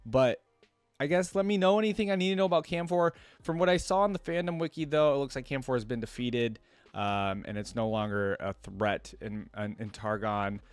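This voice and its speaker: A man's voice: mid-range voice, a little fast, clear, resonant tone, dry recording, faint music behind. A man hosting a reaction video, commenting casually on game voice lines and musing aloud with frequent questions.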